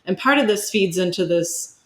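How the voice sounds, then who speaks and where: A woman speaking as an academic guest, giving explanatory interview answers in measured, searching phrases with asides. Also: on the low side, animated.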